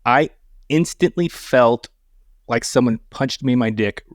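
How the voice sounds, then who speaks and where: A man in his forties talks in a loose, bantering style with a medium-pitched voice. He doubles back on his words and swears easily, poking fun at himself. The sound is close and dry, with little room to it. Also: unhurried, no echo. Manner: profane, repeats words, self-deprecating. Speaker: podcast guest. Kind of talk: casual banter and storytelling.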